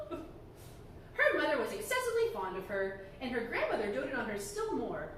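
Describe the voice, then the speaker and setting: A woman reading a fairy tale aloud to children in a library, animated and often laughing. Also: high-pitched, a little slow, slight background noise. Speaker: storyteller. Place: library room. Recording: echoey room, heard from far off.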